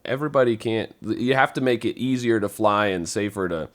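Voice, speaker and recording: Man, slightly low voice, casual panel chat, easygoing, thinks out loud. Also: fairly quick. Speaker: podcast panelist.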